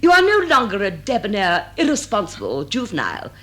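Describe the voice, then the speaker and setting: An actress playing a cool, worldly English wife who scolds with dry irony and measured affection in a radio comedy. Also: female voice, medium pitch, huge pitch swings, fairly bright tone, a little hiss or hum. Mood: dry reproof. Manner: dry, ironic, measured lecturing. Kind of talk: stage drawing-room comedy in radio drama.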